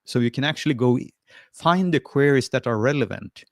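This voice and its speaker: A man's voice: medium pitch, lively intonation, brisk, vocal fry, dry recording, studio quality. A man from Sweden, presenting a friendly, hesitant screen-share tutorial over a video call, with lots of fillers and restarts. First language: Swedish. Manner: friendly and encouraging.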